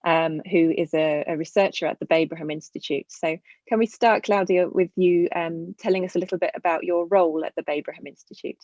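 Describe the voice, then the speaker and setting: Low-voiced woman interviewer, dry muffled sound. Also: fairly even intonation, brisk, a little mellow, no room sound. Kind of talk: interview questions and chat.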